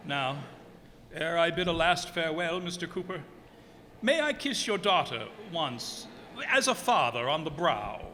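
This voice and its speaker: Man playing a bright-voiced anguished sea captain. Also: a little high, very animated, heavily acted. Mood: solemn yearning. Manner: halting, solemn, melodramatic. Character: doomed sea captain, anguished, noble older lover. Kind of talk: old-time radio melodrama acting. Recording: echoey hall, faint noise.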